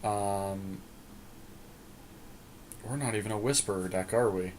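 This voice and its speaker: A man's voice: lowish voice, slow pace, a little mellow, a little hiss or hum. A man co-hosting a gaming podcast, casually thinking aloud in a tentative way and often posing questions.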